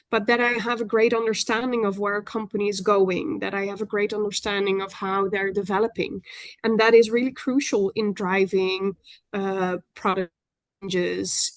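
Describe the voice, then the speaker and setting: A woman with a Dutch accent, speaking as an industry analyst on a webinar panel, measured and thoughtful with frequent fillers. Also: medium pitch, no room sound. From Netherlands. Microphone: video call.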